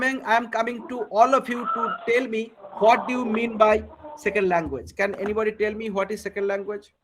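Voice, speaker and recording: A man with an Indian English accent leads a live online class over a video call. His voice sits high for a man. He quizzes his students and says key words twice. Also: a bit bright. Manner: asks the class questions, repeats words for emphasis. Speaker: English communication trainer. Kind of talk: live online workshop lecture.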